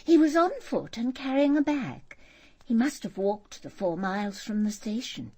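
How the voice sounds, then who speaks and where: A woman giving her account in a radio play. Her voice sits fairly high and moves in lively swings, with a husky edge. She reports things plainly, in short matter-of-fact phrases. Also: moderate pace. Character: woman witness plainly recounting a visitor's afternoon. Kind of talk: radio drama acting.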